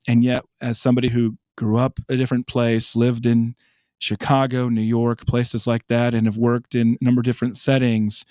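A man's voice: mid-range voice, warm tone, dry recording, studio quality. A man from South Dakota in his late thirties or early forties, answering interview questions in a thoughtful way and repeating words as he starts a thought. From South Dakota, USA. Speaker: guest.

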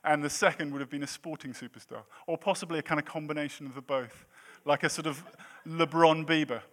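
A man's voice: a little high, steady tone, a little fast, fairly bright tone. Joking man in his thirties preaching. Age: 30s. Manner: self-deprecating, jokey asides. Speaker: pastor. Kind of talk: sermon.

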